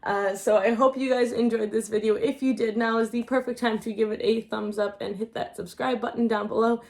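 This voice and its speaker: A woman's voice: on the high side, steady tone, fairly quick, vocal fry, a little mellow. A woman vlogging a casual book haul, chatty and rambling, losing her voice and often unsure of the details.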